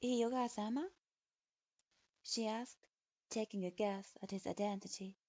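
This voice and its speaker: A woman reading a fan fiction story aloud in an even storytelling manner. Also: medium pitch, normal speed, dry recording. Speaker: story reader. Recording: dull lo-fi.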